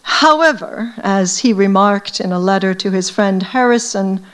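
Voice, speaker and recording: A woman from the United States, an academic, reading aloud from a novel to an audience in a measured, deliberate way. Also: medium pitch.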